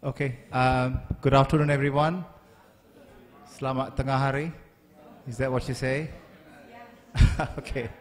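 A man in his forties, from Malaysia, giving a polite, measured university lecture at a stand microphone with frequent ums and uhs. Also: medium pitch, steady tone. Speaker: guest lecturer. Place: large lecture hall, standing at a lectern. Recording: long hall reverb.

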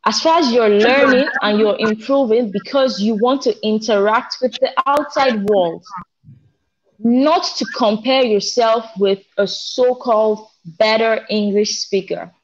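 A woman's voice: on the high side, unhurried. Passionate young woman, muffled video call. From Africa. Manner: passionate, gestures while talking, some restarts. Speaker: co-host. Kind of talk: casual discussion on video call. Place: indoors at home. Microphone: video call, front camera.

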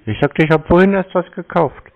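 A man in a terse, deadpan phone conversation, giving stubbornly obtuse answers. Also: a little high, a little fast. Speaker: scam-baiter taking calls from telephone scammers. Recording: narrow tinny phone-like sound.

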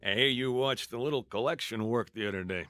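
An actor playing a calculating mob boss in a radio drama, businesslike and commanding. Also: male voice, mid-range voice, fast, a bit bright, faint music behind, lightly acted. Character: smooth, calculating mob boss, a grown man.

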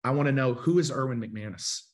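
A man's voice: mid-range voice, fairly quick. A man in his forties hosting a live interview show over a video call from a home studio, talking with enthusiasm. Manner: enthusiastic, gestures while talking. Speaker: host. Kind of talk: casual interview.

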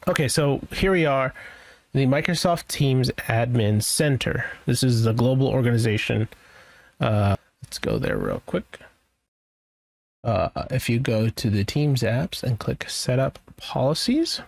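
A man's voice: medium pitch, creaky, no echo. A man presenting an IT tutorial in a casual, informal way, rambling a little as he thinks aloud through each step. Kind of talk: casual screen-share software walkthrough.

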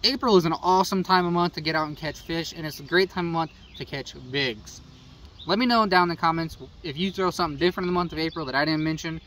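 A man's voice: fairly high pitch, fast, a bit bright. A young man with a Midwestern American accent, casually running through his fishing gear to camera, talking in an easygoing, rambling way. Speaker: fishing channel host. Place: outdoors beside a house. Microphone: phone camera at arm's length. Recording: slightly distant phone audio, faint outdoor noise.

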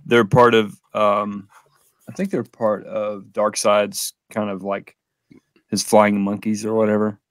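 A man in his forties chatting casually and haltingly about collecting, laid-back, close to a desk microphone. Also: on the low side, flat delivery, no room sound. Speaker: toy collector on a panel show. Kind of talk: casual chat. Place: small room full of shelves and boxes, indoors. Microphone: close desk microphone on video call.

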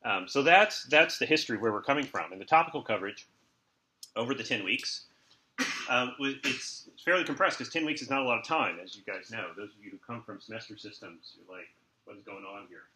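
A man's voice: medium pitch, fairly quick, bright, forceful tone, a little hiss or hum. A man giving an informal university lecture, with wry, jokey asides. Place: lecture room with students present. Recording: roomy lecture recording.

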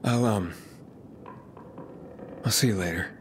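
An actor playing a guarded but kind male survivor in a video game, terse and understated, with a gravelly voice. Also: lowish voice, slow, slight background noise. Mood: hesitant, soft. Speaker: game protagonist. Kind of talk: video game cutscene dialogue.

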